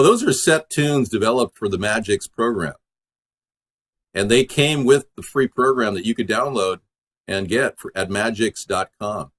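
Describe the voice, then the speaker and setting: A man's voice: lowish voice, fairly bright tone. A man hosting a livestream, chatting casually and in a rambling way as he responds to viewers. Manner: rambling, replies to viewers' messages. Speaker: livestream host and amateur musician.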